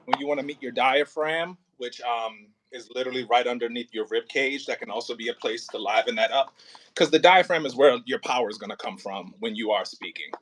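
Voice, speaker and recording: A man working as an audio play director and acting coach, talking casually and informally, with halting pauses and emphatic repetition. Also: medium pitch, a bit bright, slight background noise.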